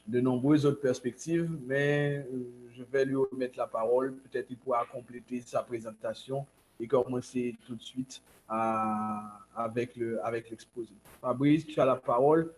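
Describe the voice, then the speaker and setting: A man speaks as the host of an online conference, giving a spoken introduction at a middling pitch. He pauses mid-phrase and restarts, talking over a video call. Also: fairly even intonation, a little mellow, rough recording.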